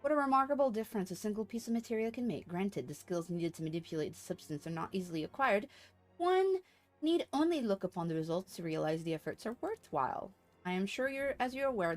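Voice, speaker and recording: A woman's voice: medium pitch, animated, fast, soft background music, lightly acted. A woman reading aloud as a composed, formal leader in a fantasy game, with courtly, earnest manner. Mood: earnest, instructive. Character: composed, formal, archaic-spoken order leader giving missions.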